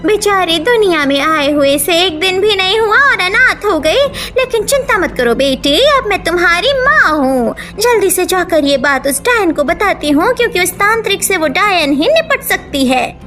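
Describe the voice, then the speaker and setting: A woman plays a kindly witch in a cartoon, with a piercingly high, swooping voice. She is anxious and protective, exclaiming dramatically over music. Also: big pitch swings, normal speed, hardly any pauses. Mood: tender, protective. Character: kindhearted anxious female witch ghost turned protective mother. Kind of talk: Hindi cartoon story dubbing.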